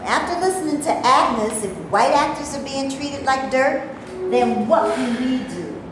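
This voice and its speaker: A woman playing a weary, sharp-tongued actress with a folksy, emphatic delivery in a staged play reading. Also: sits high, expressive, measured pace. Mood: weary, skeptical. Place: indoor room, live staged reading. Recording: echoey room, hissy amateur video.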